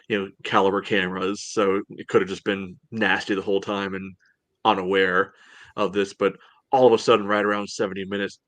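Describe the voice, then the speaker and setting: A man with a low voice chats casually about sport as a podcast co-host. His delivery is rambling and full of verbal padding, with little rise and fall. The sound is dry and close. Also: no room sound. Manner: rambling, peppered with "you know".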